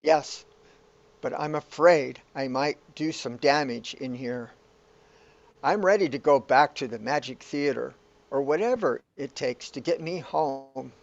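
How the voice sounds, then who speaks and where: A man's voice: mid-range voice, measured pace, vocal fry. A man playing an anxious, bewildered hero in a radio play, fretful and pleading, on a dull lo-fi recording. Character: anxious, bewildered hero stranded in a surreal world.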